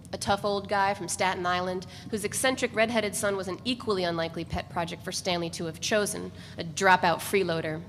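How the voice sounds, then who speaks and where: Woman reading a novel in an echoing hall. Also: medium pitch. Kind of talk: dramatic literary reading, scripted narration. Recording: reverberant hall with background noise.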